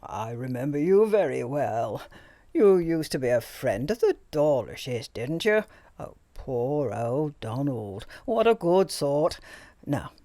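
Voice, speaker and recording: A woman's voice: extremely low pitch, big pitch swings, slow pace, no echo, exaggerated voice. A woman voicing a talkative, fussy elderly male doctor in an audiobook reading. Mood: jovial. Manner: loud, talkative, jovial.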